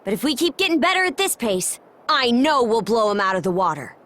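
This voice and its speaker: A woman's voice: fairly high pitch, big pitch swings, brisk, a bit bright. An actress playing a brash, confident young seafaring warrior woman in a video game, with a breezy, cocky, slangy way of talking. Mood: upbeat, confident. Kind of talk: video game cutscene acting.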